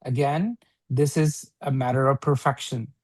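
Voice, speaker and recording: A man gives a one-on-one lesson at an ordinary, middling pitch. He explains step by step, restates each point and drops in short questions to check understanding. The sound is dry, with very little room in it. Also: steady tone, measured pace, a little mellow, no room sound. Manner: instructive, repeats and rephrases, checks with short questions. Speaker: teacher. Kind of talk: one-on-one Arabic read-along religious lesson.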